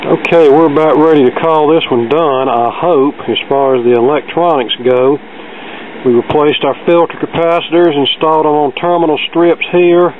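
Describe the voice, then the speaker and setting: A man's voice: fairly high pitch, fairly even intonation, moderate pace. A man narrating a hands-on repair in a casual, methodical, matter-of-fact way, as host of his own video. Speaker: host, vintage record player repair hobbyist. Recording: muffled phone-like audio with background noise.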